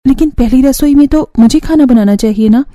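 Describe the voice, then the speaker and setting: Woman acting a timid young bride, high mellow voice. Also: fairly quick, close to an everyday voice. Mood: hesitant. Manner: apologetic, worried, wide-eyed. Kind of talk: cartoon family story dialogue.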